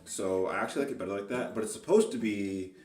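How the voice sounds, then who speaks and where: A man chatting casually and unscripted as an amateur guitarist, halting and self-critical as he thinks aloud. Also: fairly low pitch. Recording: roomy, distant mic, loud guitar. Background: acoustic guitar playing.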